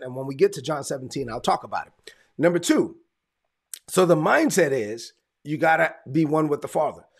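Gravelly-voiced New preacher. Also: male voice, fairly high pitch, a bit bright. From New York. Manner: repetitive, emphatic preaching with lists. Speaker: pastor. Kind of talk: sermon.

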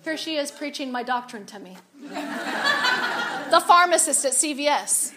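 A woman with a raspy voice giving an animated, confiding storytelling talk to a women's audience. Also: sits high, normal speed, very noisy. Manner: animated, confiding, rises and drops a lot. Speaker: speaker at a women's event.

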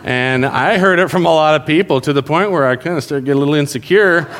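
Male pastor, conversational and jokey, slightly high voice, church reverb. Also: quick pace, fairly bright tone, slight background noise. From South America. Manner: jokey, conversational, addresses congregation directly. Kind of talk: sermon. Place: church sanctuary.

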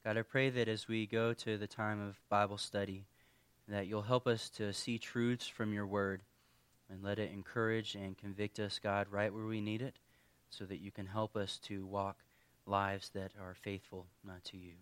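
A man preaching a conversational sermon as pastor, his voice a little worn from allergies, speaking earnestly with pauses and restarts. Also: fairly low pitch, level tone, a bit bright, clean tone, dry recording.

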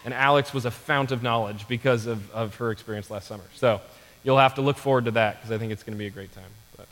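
A man's voice: mid-range voice, fairly even intonation, fast, a bit bright. A man moderating a church panel in a casual, informal speaking style. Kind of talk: casual spoken hosting. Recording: echoey hall.

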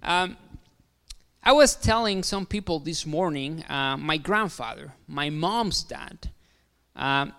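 A man with a Mexican Spanish accent preaches in a friendly, halting way, full of fillers and restarts. His voice sits a little high and sounds smooth and resonant. A long reverb trails behind him in a big hall. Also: animated, bright, forceful tone. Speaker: guest pastor. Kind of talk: sermon. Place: large hall.

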